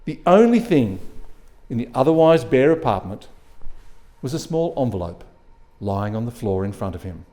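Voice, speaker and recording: A man reading a short story aloud as an expressive narrator at a live event. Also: medium pitch, moderate pace, long pauses. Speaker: story reader.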